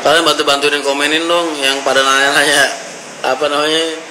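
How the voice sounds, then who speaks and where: A man's voice: a little high, flat delivery, a little fast. A man chatting informally and reading out comments, in a slangy, bantering way. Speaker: livestream or vlog host reading comments. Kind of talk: casual chat, reading out comments. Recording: roomy echo, loud background noise.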